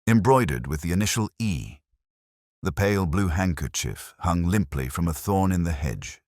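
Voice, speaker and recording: A man's voice: low-pitched, no echo. A man narrating a scripted mystery story in a dry studio recording.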